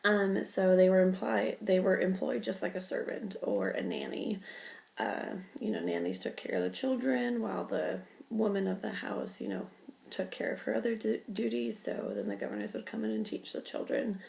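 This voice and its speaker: A woman's voice: medium pitch, sing-song, moderate pace, darkish tone. A young woman with a cold and a stuffy voice, giving an informal class presentation full of ums and likes. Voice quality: gravelly creak. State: congested with a cold. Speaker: student presenter.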